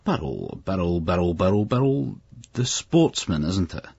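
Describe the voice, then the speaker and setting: Stern Scottish schoolmaster, pompous and sneering, voiced by a man, husky and raised in pitch, dry muffled sound. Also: very animated, moderate pace, a little mellow, dry recording, full character voice. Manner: stern, clipped, mocking. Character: strict pompous male schoolteacher, middle-aged.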